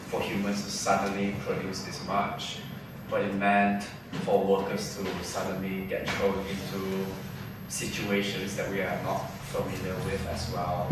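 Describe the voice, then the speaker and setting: A man from Malaysia, a textile artist, speaking at an artist talk in a gallery, thoughtful and halting as he searches for words. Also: lowish voice. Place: gallery event space. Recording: distant roomy mic with noise.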